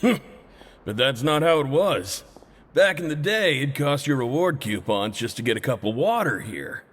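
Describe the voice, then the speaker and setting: A man's voice: mid-range voice, big pitch swings, a little slow, husky. A man playing a blunt, loyal long-time prison worker in a video game, speaking plainly and earnestly. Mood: gruffly earnest. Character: blunt, loyal male prison cafeteria old hand. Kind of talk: English video game voice acting.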